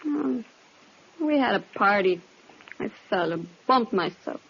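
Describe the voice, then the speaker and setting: A woman's voice: medium pitch, animated, takes it slowly, gravelly, breathy edge, full character voice. An actress playing a defensive, evasive former girlfriend of a crook in a vintage radio crime drama. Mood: guarded, defensive. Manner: curt, evasive. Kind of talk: 1950s radio drama acting. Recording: muffled old radio recording.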